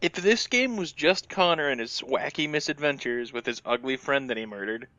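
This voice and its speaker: A man's voice: mid-range voice, expressive, normal speed, pushed and bright, highs cut off. A man giving casual gaming commentary in a sardonic, grumbling, profane way. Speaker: Let's Play commentator.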